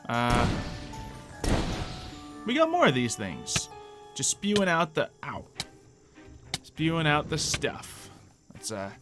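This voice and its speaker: A man doing casual gameplay commentary with some light roleplay, rambling and thinking aloud as he goes. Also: medium pitch. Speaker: gaming video host.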